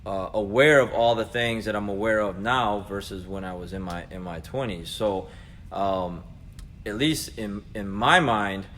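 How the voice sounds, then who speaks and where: Casting: American man, 40s, slightly low pitch, earnest casual motivational coach, faintly noisy ambience. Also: measured pace, a bit bright. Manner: conversational, earnest, addressing listeners directly as guys and man. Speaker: jiu-jitsu coach and vlog host. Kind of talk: casual motivational talk to camera. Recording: clearly noisy background ambience.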